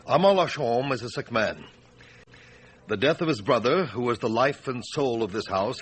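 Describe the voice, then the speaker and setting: A man's voice: medium pitch, a bit bright, a little hiss or hum, close to an everyday voice. A man playing a polite, guarded family lawyer, youngish to middle-aged, in a radio drama, speaking formally and carefully with a conciliatory manner. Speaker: supporting actor in a detective radio drama.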